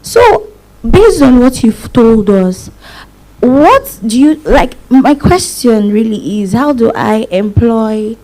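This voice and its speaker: A Nigerian woman politely asking a question from the audience in a halting way. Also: a little high, very animated, mellow, low-quality recording. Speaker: audience questioner, PhD student.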